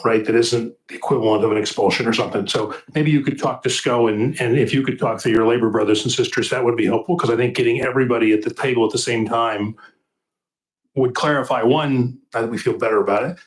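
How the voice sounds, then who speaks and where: A man's voice: medium pitch, fairly even intonation, a little fast, echoey room, rough recording. A man on a school board, speaking earnestly and persuasively while thinking aloud during a meeting discussion.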